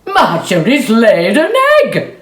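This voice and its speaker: A woman's voice: medium pitch, sing-song, slow pace, roomy sound, voice pushed up. A woman voicing a proud, easily astonished male farmer in a storybook.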